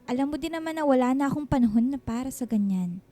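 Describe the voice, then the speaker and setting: A self-doubting Filipina single mother in a radio drama. Her voice is high and soft-toned, demurring and self-deprecating, over a light music bed. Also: lively intonation, quick pace. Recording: music bed under the dialogue.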